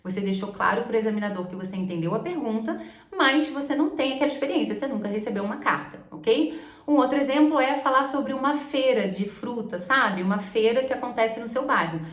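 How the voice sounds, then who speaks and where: A woman's voice: fairly high pitch, expressive, brisk. A woman with a Brazilian Portuguese accent explaining exam tips to the camera as an English teacher. She checks in with her listeners often. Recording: echoey room.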